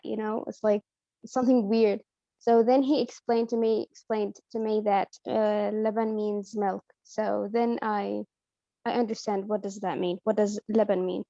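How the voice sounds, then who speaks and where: Young woman student, mellow and hesitant. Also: mid-range voice, creaky, no room sound, dull audio. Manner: hesitant, with fillers. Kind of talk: classroom answer.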